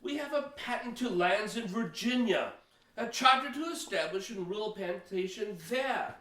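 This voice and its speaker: A man's voice: high-pitched, lively intonation, moderate pace, sharp tone, recorded from a distance. A man acting a firm, urgent Puritan leader in a historical audio play, speaking in a formal, archaic, insistent way. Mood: dismayed, firm. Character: firm, urgent Puritan elder leading a colonist company. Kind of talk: amateur audio theater historical drama acting. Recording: echoey room, off the mic.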